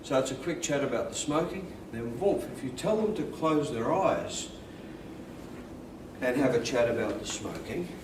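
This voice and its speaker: An Australian man with a deep voice, calmly and deliberately teaching and demonstrating hypnosis in a soft-spoken, repetitive way. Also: noisy background. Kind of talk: hypnosis instruction and induction, softly spoken.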